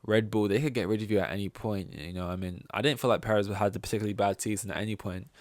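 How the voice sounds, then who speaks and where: A man's voice: on the low side, steady tone, very fast, no room sound. A man hosting a podcast, giving casual, opinionated sports rankings in a rambling, sweary and sarcastic way. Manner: rambling, sweary, sarcastic, acts out mock quotes. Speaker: podcast host.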